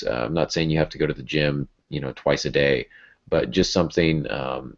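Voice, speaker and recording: Very deep, gravelly man hosting a podcast. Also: darkish tone. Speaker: podcast host. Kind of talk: casual podcast talk. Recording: dull, lo-fi podcast audio.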